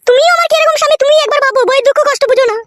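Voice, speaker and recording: Cartoon village wife , female actor: squeaky-high, very rapid, bossy and scolding. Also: very noisy. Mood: exasperated. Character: scolding, sharp-tongued, domineering young village wife. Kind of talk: crude comedy cartoon dialogue.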